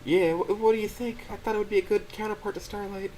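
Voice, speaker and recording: A man voicing a gentle young female dinosaur who stammers and quivers as she pleads tearfully. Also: high-pitched, steady tone, fast talker, slight background noise, voice pushed up, lightly acted, rough recording. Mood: flustered.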